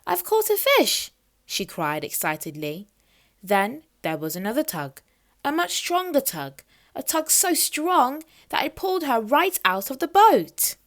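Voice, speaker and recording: A woman reading a children's picture book aloud as an expressive storyteller. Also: on the high side, a little slow, no echo, clean studio sound.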